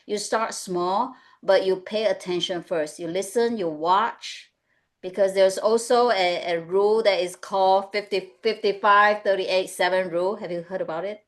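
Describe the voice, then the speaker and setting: A woman's voice: medium pitch, fairly even intonation, normal speed. Woman. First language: Mandarin. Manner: earnest, advice-giving, often adds "right?". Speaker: panelist, executive coach. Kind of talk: webinar panel discussion.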